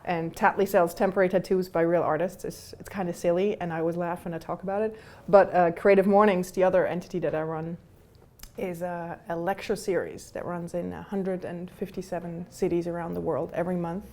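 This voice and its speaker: A woman's voice: lowish voice, little pitch movement, brisk, warm tone. A middle-aged woman from Switzerland speaking earnestly and with enthusiasm in a casual conversation. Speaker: entrepreneur. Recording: faint room ambience.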